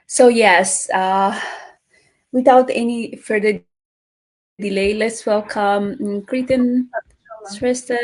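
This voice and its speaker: A middle-aged woman from Nepal hosting a live online talk show, speaking haltingly with many fillers and restarts. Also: medium pitch, steady tone, rough recording. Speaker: host.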